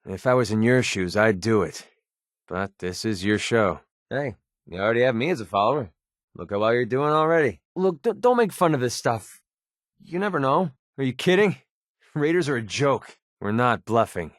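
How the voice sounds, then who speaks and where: A man's voice: mid-range voice, expressive, normal speed, no room sound, studio quality, natural voice. An American man playing a cynical, wisecracking young mercenary in a video game, with a casual, sarcastic, slangy delivery. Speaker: video game companion character.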